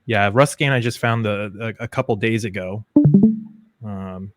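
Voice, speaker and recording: A man's voice: lowish voice, unhurried, over loud music. A man chatting as a panelist on a live stream, easygoing and agreeable. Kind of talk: casual stream chat.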